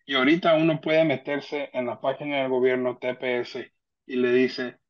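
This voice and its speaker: A man's voice: mid-range voice, little pitch movement, moderate pace. A man giving an informal explanatory online presentation as a legal representative, talking in a conversational, somewhat hesitant way over a video call. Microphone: video call computer microphone. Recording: dull lo-fi video call audio.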